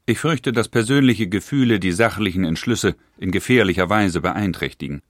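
Stern older brother figure , male actor, low voice, dry close sound, formal letter reading. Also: no room sound, natural voice. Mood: grave. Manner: measured, grave and formal. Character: stern elder brother, head of a family firm. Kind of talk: reading a historical letter aloud.

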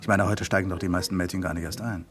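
Radio play, male performer for flirtatious suitor: lowish register, persuasive, easygoing, conversational. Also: steady tone, racing, a crowd in the background, natural voice. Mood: flirtatious, jovial. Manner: jovial, persuasive. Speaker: actor in a radio crime drama. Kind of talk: German radio play acting, conversational dialogue.

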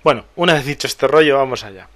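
A man's voice: medium pitch, animated, fast talker, fairly bright tone. Man, tech podcast co-host, casual chat, filler-heavy, restarts. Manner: chatty, loose, many fillers and restarts. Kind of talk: casual chat, reading listener mail.

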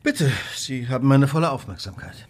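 An actor playing a cool, analytical Victorian gentleman detective in a radio play, precise and probing as he questions. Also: mid-range voice, lively intonation, moderate pace, lightly acted. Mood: courteous, assured.

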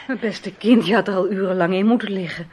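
A woman's voice: mid-range voice, fast talker, darkish tone, husky, lightly acted. A woman playing a famous actress in a Dutch radio play, polite, guarded and a little hesitant in a theatrical way. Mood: light, friendly.

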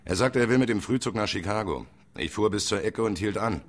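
A shaken suspect giving his account to police in a radio crime play, voiced by an actor. His voice is very deep, and he talks plainly and defensively. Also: a little fast, natural voice. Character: shaken man telling his side to police.

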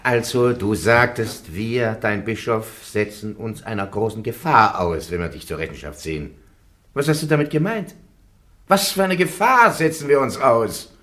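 A man's voice: fairly low pitch, animated, normal speed, a bit bright. A bishop acting as judge, pressing hard for an answer. His voice rises and falls widely as he demands it, in a radio play trial. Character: bishop judging a prisoner, demanding.

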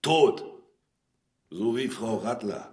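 An actor playing a drunken older village troublemaker in an audio drama, sly and needling, with a slurred, taunting delivery. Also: male voice, fairly low pitch, animated, slow pace, darkish tone. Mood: taunting, sullen. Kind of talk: German audio drama acting.

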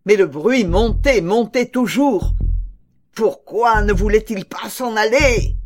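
A woman reading a gothic horror story as a guilt-maddened murderer, in a dramatic, deliberate manner. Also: medium pitch. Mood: uneasy, tense. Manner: dramatic, deliberate, building to frenzied cries.

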